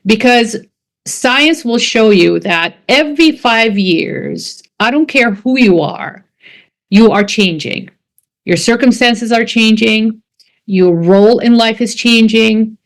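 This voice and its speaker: Slow-talking woman podcast host. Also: a little high. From Jordan. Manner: unhurried, emphatic, repeats key words. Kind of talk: casual interview chat.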